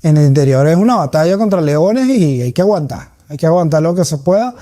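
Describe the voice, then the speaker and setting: A man's voice: on the high side, expressive, a little fast, warm tone, no room sound, studio quality. A man with a Caracas Venezuelan accent giving reflective, conversational answers as a comedian being interviewed.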